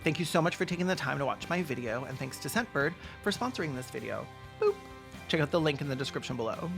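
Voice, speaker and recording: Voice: medium pitch, fast, gravelly, over music. Sarcastic video host with a mid-range voice. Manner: mock-serious, deadpan joking. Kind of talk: sarcastic comedic commentary.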